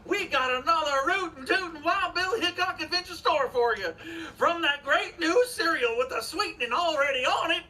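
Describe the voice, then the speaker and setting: A man playing an excitable, folksy, goofy cowboy sidekick in an old-time Western radio show, whooping and drawing out his exclamations. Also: extremely high pitch, moderate pace, hardly any pauses, pitched above the performer's own voice, full character voice. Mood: gleeful, hyped. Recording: distant mic, faint noise, live stage.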